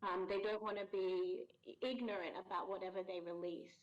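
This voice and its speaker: A woman's voice: medium pitch, fairly even intonation. Thoughtful woman on a dull lo-fi line. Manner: measured, thoughtful, explains at length with pauses. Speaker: guest voice actor. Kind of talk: casual interview chat. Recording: dull lo-fi sound.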